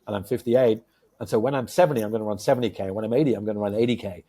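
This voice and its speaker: A man in his fifties co-hosting a podcast, talking casually and with enthusiasm, telling stories full of fillers and sudden bursts of excitement. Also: lowish voice, very fast. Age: 50s. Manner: enthusiastic, animated storyteller with many fillers. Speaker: podcast co-host.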